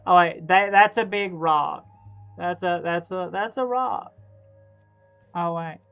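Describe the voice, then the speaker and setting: A man in his thirties chatting casually as a live streamer, halting and repeating his phrases over and over. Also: high-pitched, clean tone, highs cut off, soft background music. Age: 30s. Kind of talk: casual chat while gaming.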